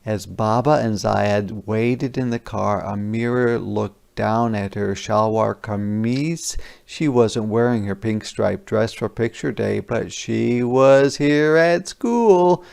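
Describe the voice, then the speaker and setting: Slow-paced man reading a picture book aloud. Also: lowish voice, creaky, darkish tone, clear, resonant tone. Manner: simple, deliberate explanations for young children. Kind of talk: interactive children's read-aloud with explanatory asides.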